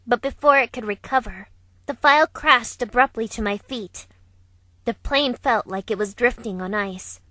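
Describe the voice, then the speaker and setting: A woman's voice: on the high side, moderate pace, bright, forceful tone. A woman playing a composed, caring young woman who narrates her own story in a visual novel fan dub. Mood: tense, descriptive.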